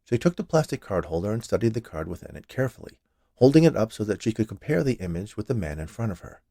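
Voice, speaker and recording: A man's voice: on the low side, sing-song, quick pace, creaky, warm tone, dry recording, clean studio sound. A man reading scripted fiction aloud as a storyteller. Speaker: story reader.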